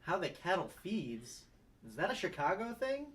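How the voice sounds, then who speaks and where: Young sitcom lawyer, a man acting: polite, hesitant, earnest, higher-pitched male voice, slightly distant. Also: big pitch swings, moderate pace, a bit bright, slight background noise. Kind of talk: sitcom table read.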